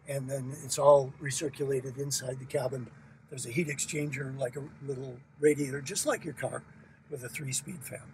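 A man demonstrating a small plane's cockpit, explaining each checklist step in a relaxed, explanatory way as he works the controls. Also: medium pitch, distant mic, slight background noise, low-quality recording. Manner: relaxed, explanatory, talks while working controls. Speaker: aircraft sales demo pilot. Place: small aircraft cockpit with engine running.

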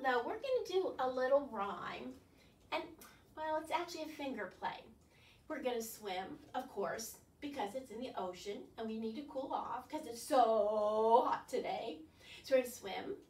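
An older woman leading a children's storytime as a librarian, animated and instructing, talking directly to young viewers with questions. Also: on the high side. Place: small living room, indoors. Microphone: far camera. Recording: roomy, distant camera microphone.

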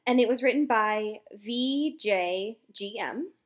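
A woman's voice: fairly high pitch, takes it slowly. A young woman co-hosting a book podcast, recapping chapters in a chatty way, swearing and laughing often. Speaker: podcast co-host. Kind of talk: casual book recap chat.

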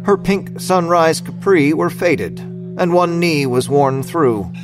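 Male narrator for audio fiction; somewhat high voice, scripted storytelling, faint music underneath. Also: takes it slowly.

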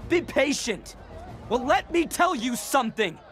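An actor playing a brash, cocky young gang boss in a video game, emphatic and swaggering. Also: male voice, extremely high pitch, sing-song, a little slow, background noise, heavily acted. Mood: rousing, defiant. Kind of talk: video game action dialogue and rousing speech.

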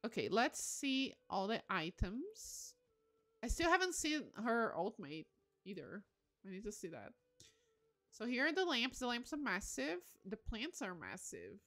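A woman chatting casually on a game livestream, excitable and gushing, often breaking into exclamations. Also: on the high side, unhurried, faint music behind. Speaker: live streamer.

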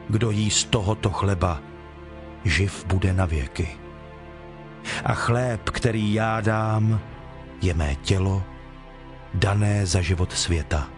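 A solemn male teacher of authority in a scripture drama. His voice sits on the low side and his delivery is measured and declarative, with clear music underneath. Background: background music.

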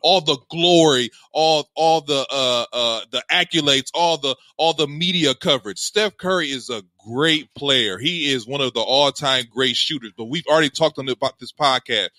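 A man co-hosting a sports podcast, arguing his points loudly and repeating himself to hold the floor. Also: medium pitch, moderate pace, few pauses, dry recording. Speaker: podcast co-host. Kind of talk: heated casual sports debate.

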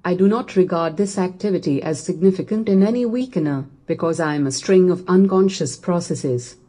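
A synthetic female voice-assistant voice from a smart speaker, reciting text in an even, machine-read way. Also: fairly low pitch, fairly quick. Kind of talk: synthetic text-to-speech reading.

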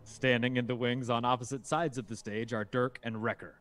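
A man's voice: medium pitch, steady tone, brisk, a bit bright. A man reading scripted stage directions as the narrator of a table read. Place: indoors on a group video call.

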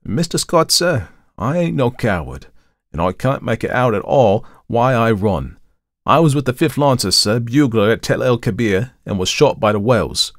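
A man's voice: mid-range voice, lively intonation, normal speed, no echo, clean studio sound, pitched above the performer's own voice, lightly acted. A man voicing a young Cockney manservant and ex-soldier, deferential but indignant, in a colloquial storybook reading. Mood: embarrassed, earnest. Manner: colloquial, deferential, calls master sir.

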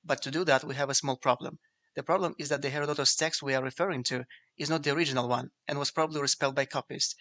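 A man whose first language is Ukrainian, narrating a scripted lecture in a measured, explanatory way. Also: mid-range voice, steady tone, pushed and bright. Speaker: video essay narrator.

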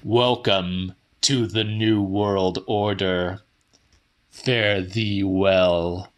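A man's voice: low voice, fairly even intonation, drawn-out words, voice pushed down. A man voicing a gloating, grandiose supernatural killer in a pompous, theatrical manner for a horror audiobook. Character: gloating, grandiose male supernatural killer addressing his audience.

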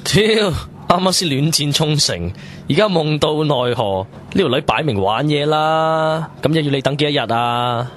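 A man's voice: fairly high pitch, lively intonation, normal speed, fairly bright tone, slight background noise. A man with a Hong Kong Cantonese accent playing a nosy, blunt young buddy in a casual radio drama. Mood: scornful. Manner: blunt, questioning. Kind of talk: colloquial radio drama acting.

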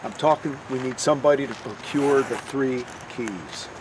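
Medium-pitched male henchman recruiter, acted, businesslike, reverberant, slightly distant. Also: moderate pace, natural voice. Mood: insistent. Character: villain's businesslike lieutenant and recruiter. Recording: echoey room, distant mic, faint noise.

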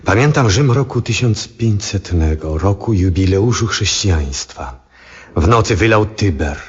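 An actor playing a lonely, sick old male astronomer in a radio drama, speaking in a halting, reflective, husky voice. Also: on the low side, lively intonation. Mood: grave recollection. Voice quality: husky, hushed. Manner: halting, reflective, with long pauses. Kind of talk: 1970s Polish radio drama acting, introspective monologue. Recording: dull, muffled 1970s radio recording.

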